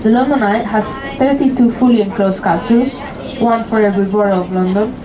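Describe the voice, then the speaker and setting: A woman's voice: medium pitch, fairly quick, a crowd in the background, rough recording. A young woman from Spain with a Spanish accent, giving live commentary as a tour guide on a river cruise boat, friendly and lightly joking.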